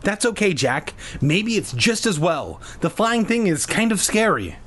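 Give this one a high, swooping voice: a man playing a young girl in a storybook, whiny and pleading, quick to get bossy. She stretches for what she wants and sounds put out. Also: sing-song, fairly bright tone, full character voice. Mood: worried. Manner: begging, demanding, impatient.

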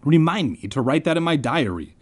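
A man's voice: a little high, very animated, a little fast. A man voicing an irritable, impatient schoolboy in a storybook, sarcastic and grumbling. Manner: exasperated, sarcastic.

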